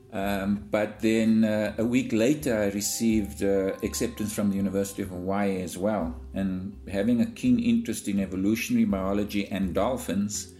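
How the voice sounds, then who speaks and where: Low-voiced South African academic. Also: male voice, darkish tone. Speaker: zoologist guest. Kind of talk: explanatory interview answers.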